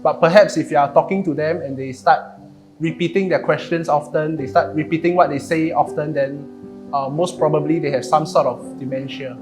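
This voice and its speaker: A young man, an engineering student answering in a measured way with fillers. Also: a little high, fairly even intonation. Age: young adult. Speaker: university student. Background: guitar music.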